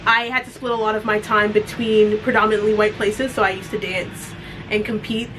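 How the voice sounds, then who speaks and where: A woman's voice: level tone, brisk. A young woman co-hosting a casual chat, speaking articulately and laughing easily. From Canada. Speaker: co-host. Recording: slight noise with low rumble.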